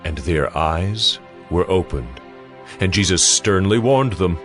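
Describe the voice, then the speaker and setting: A man's voice: sits low, expressive, slow pace. A man narrating a dramatized audio Bible in a solemn storytelling manner. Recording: music behind the voice. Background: background music.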